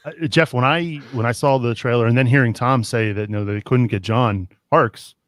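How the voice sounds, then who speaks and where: A man's voice: medium pitch, moderate pace, fairly bright tone, dry recording, studio quality. Conversational male podcast host. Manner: conversational, steering the talk with questions. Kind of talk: casual sports podcast chat.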